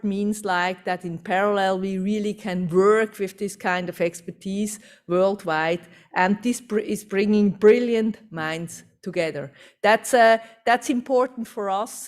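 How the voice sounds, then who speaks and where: Swiss-accented woman giving a talk. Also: mid-range voice. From Switzerland. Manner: fluent non-native English with fillers, upbeat. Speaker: CEO presenting. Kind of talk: conference presentation.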